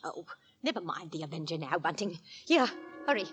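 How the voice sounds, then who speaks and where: An actress playing an anxious, deferential Victorian landlady, a wife and mother, in a 1940s radio horror drama, with a slightly husky voice. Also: low voice, very animated, background noise. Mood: brisk, relieved. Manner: servile and eager to please, brisk with husband.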